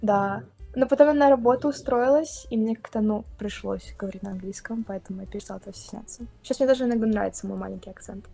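A young woman from Russia chatting casually on a video call, talking with lively hand gestures. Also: on the high side, fast talker, gravelly, soft background music. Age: young adult. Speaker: guest. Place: indoor room, plain wall.

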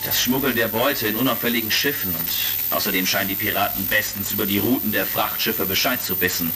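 Heroic space commander: low, gravelly, resolute and shrewd, retro radio play. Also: male voice, brisk, over music, poor audio. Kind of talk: retro sci-fi audio drama acting.

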